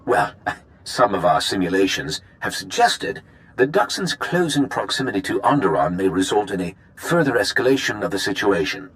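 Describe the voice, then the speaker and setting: Imperial male leader, urbane, secretive, lowish voice, animated inflection, formal game briefing. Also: normal speed. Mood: composed briefing. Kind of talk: video game voice acting.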